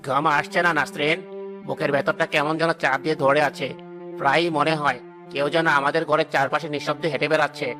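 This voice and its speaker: A man's voice: on the high side, level tone, fast talker, over music, close to an everyday voice. A man playing a greedy, scheming village trader in a cartoon story. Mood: anxious.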